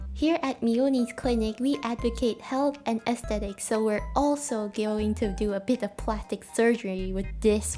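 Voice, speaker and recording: Higher-voiced woman, playful craft narration over music. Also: a little mellow. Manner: tongue-in-cheek, slangy, theatrical. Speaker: craft video creator. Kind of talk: playful voice-over narration, mock doctor bit. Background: background music.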